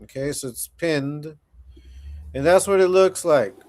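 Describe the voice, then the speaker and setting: A man's voice: a little high, a little slow, a little mellow. A man in his forties hosting a casual livestream, chatting laid-back with viewers and often repeating himself. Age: 40s. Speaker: livestream host.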